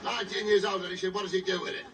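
A man's voice: high voice, steady tone, very fast, far from the mic, a crowd in the background. An actor playing a cheeky, scheming caveman father in broad theatrical sketch comedy, with punchline-driven delivery. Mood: sly joking. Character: cheeky scheming caveman father, mock-pleading comic husband. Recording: echoey, noisy TV playback filmed off a screen.

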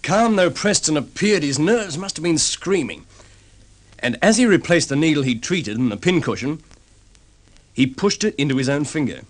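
An actor playing a calm, methodical detective sergeant laying out a case in an old radio drama. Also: male voice, mid-range voice, lightly acted. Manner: measured explaining. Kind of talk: vintage radio drama acting.

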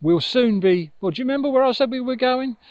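A man's voice: very high-pitched, lively intonation, quick pace, a little mellow, dry recording, dull audio, pitched above the performer's own voice. A man voicing a kindly, reassuring badger guide in a children's story.

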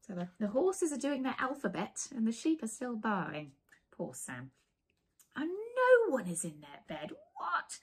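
Slow, animated woman reading to children. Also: a little high, slightly rough. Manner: playful, engaging listeners with questions. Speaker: children's author reading her own book. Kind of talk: animated children's storybook read-aloud. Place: small indoor room lined with bookshelves.

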